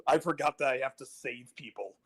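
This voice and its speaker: A man joking on a panel in casual conversation, halting as he delivers mock-serious remarks. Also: medium pitch, a bit bright. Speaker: podcast panelist. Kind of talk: casual, joking group chat.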